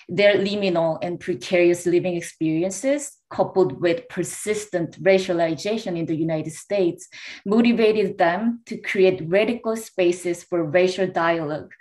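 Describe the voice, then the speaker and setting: A woman's voice: on the low side, darkish tone. Korean woman reading formal prepared remarks. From South Korea. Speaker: panelist, researcher. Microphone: video call.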